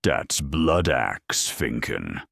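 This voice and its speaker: A man voicing a gloomy, contemptuous ork mechanic in a fantasy audio story, with rough, slangy speech. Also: rumbling low, very animated, drawn-out words, fairly bright tone, dry recording, clean studio sound, full character voice. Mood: dark scorn. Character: gloomy, contemptuous ork big mek griping darkly.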